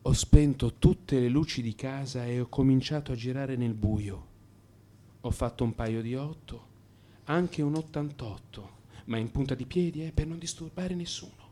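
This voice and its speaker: An actor playing an anxious, brooding married man in crisis, in an intimate, halting radio monologue. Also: mid-range voice, dark tone, lightly acted. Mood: brooding, hushed.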